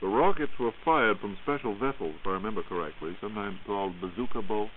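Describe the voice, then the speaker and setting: A man's voice: lowish voice, fast. A man with an American accent presenting and interviewing in scripted 1940s radio style. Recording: tinny, lightly hissy 1940s radio recording.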